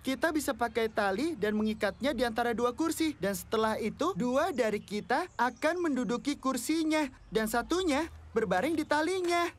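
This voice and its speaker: A woman's voice: a little high, expressive, normal speed. A woman voicing an anxious, reluctant young schoolboy in a children's cartoon, with a hesitant, whiny, exclamatory manner. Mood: doubtful, plain. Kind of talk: animated children's cartoon dub. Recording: loud music behind the voice.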